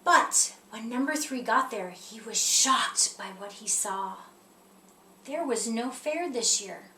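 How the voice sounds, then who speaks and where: A woman reading a children's picture book aloud in an expressive storytelling style. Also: a little high, slow pace. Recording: roomy echo, distant mic, faint noise.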